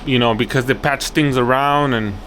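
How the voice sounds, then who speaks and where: A man's voice: medium pitch, fairly even intonation, normal speed, a bit bright, slight background noise. A man giving an unscripted hands-on walkthrough as an installer, instructive and thinking aloud, often repeating his phrases. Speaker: access control installer and video host. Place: indoors at an office job site.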